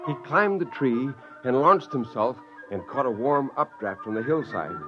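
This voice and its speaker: Actor as an animated, excitable scientist father. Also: mid-range voice, moderate pace, close to an everyday voice. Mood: gleeful narrating. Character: excitable, gleeful scientist father narrating his secret creation. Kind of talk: 1950s radio drama narration and acting. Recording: dull lo-fi old radio, music underneath.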